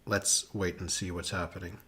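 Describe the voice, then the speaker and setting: A man's voice: low-pitched, level tone, moderate pace, pushed and bright. A man hosting a live election analysis stream, chatting casually with many fillers as he thinks aloud and reacts to viewers. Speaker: political analyst hosting a livestream.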